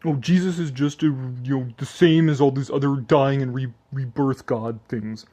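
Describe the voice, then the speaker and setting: A man's voice: medium pitch, steady tone, moderate pace, mellow, lightly acted. Man parodying a sneering male online debater for satirical commentary: flippant, foul-mouthed, dismissive. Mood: flippant scoffing. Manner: flippant, scoffing, sweary. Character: dismissive, crude male internet atheist, sneering.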